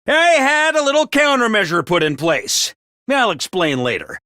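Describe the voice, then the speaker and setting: A high, bouncy game commander, cheerful but ruthless, bright sharp tone. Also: male voice, very animated, moderate pace, dry recording, studio quality, full character voice. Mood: briefing. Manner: bouncy, drill-sergeant cheer over cold pragmatism. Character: chipper commanding officer of child soldiers, cheerfully ruthless. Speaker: voice actor for a video game character. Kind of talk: game character voice lines.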